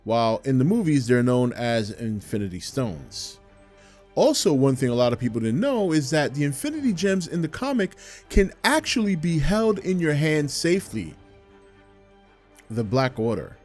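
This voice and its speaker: A man's voice: a little high, a little mellow. A man hosting a video with scripted narration. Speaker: video host. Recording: music behind the voice. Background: music.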